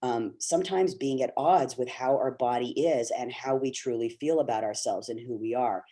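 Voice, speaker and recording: A man's voice: medium pitch, warm tone. A middle-aged man from Canada, an actor speaking on a video call webinar, talking earnestly and encouragingly with many fillers and restarts. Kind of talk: candid talk to young actors.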